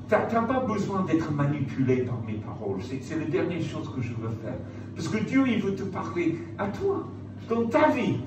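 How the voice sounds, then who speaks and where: A man preaching and praying in an emphatic, pleading way, repeating short phrases as he appeals to his listeners. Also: sits high. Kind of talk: evangelistic sermon with altar call and prayer. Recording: echoey room, distant mic, clear background noise.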